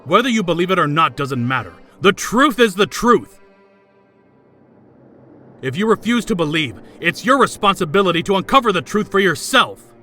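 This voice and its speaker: A man's voice: sits high, expressive, normal speed, sharp tone, soft background music, full character voice. A man voicing an arrogant, coldly logical elite student with haughty, clipped delivery in an anime fandub. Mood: haughty, impatient.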